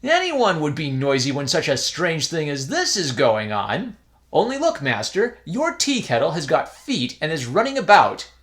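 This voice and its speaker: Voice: mid-range voice, wildly swooping pitch, slow, vocal fry, hardly any pauses, a bit bright, exaggerated voice. A reader voicing a bold, cheerful young male novice monk calling out eagerly.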